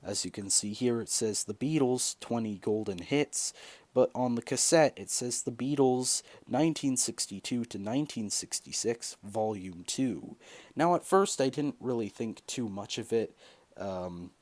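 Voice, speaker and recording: A man's voice: mid-range voice, animated, measured pace, darkish tone. A young man talks casually to camera in a hesitant, rambling way, like a hobby vlogger. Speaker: video creator showing collection. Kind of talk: casual vlog talk to camera.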